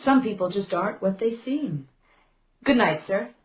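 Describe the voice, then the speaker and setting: An actress playing a courteous, quietly probing newspaper reporter in a radio crime drama, composed and pointed. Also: female voice, mid-range voice, animated, moderate pace, dull audio, slight background noise, lightly acted. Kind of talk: detective radio drama acting.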